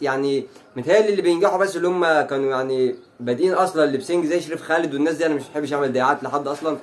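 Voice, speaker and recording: A man in his twenties with an Egyptian Arabic accent, a YouTuber answering fan questions to camera in a chatty, brash way. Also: a little high. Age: 20s. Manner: chatty and brash, rattles off lines. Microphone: phone.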